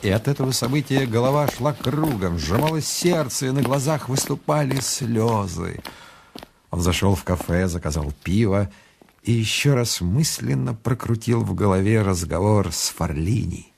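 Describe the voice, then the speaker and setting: A man acting as the storyteller of a radio play, narrating in a measured, reflective and literary manner. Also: fairly low pitch, lively intonation, vocal fry, darkish tone, a little hiss or hum. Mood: reflective, dramatic. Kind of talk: scripted narration for a radio drama. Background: music.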